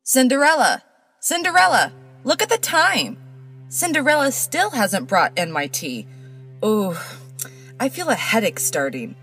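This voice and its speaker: Cruel cartoon stepmother played by a woman, middle-aged, haughty and scolding, dramatically varied pitch, soft music behind. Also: very animated, measured pace, exaggerated voice. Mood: imperious, scolding. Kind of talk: children's cartoon voice acting.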